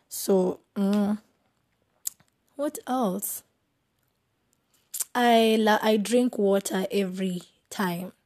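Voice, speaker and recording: Giggly young Kenyan woman podcasting. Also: mid-range voice. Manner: giggly and playful, trailing off into soft asides. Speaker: podcast host. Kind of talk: casual solo podcast chat.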